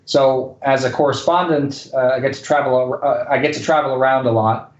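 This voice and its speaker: A man's voice: mid-range voice, fairly even intonation, brisk. A man speaking casually as an interview guest, with a hesitant delivery full of fillers. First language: English and Spanish. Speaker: guest, correspondent and news anchor. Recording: dull lo-fi roomy sound.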